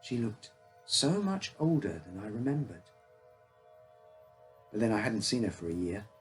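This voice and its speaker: A man with a husky voice reading his own first-person uncanny story as a scripted narration. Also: on the low side, sing-song, a little slow, faint music behind.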